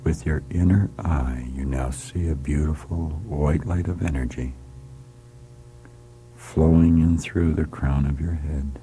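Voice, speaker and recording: A man leading a guided hypnotic relaxation in a soft, soothing voice that often drops to a whisper, repeating calm commands. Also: rumbling low, slight background noise, rough recording. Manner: slow, soothing, repetitive commands. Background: music.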